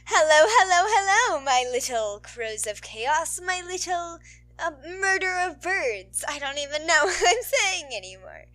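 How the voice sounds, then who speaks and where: A woman's voice: very high-pitched, very animated, unhurried, bright, forceful tone. A woman podfic narrator who talks in an informal, playful way. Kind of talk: animated story narration and casual outro chat.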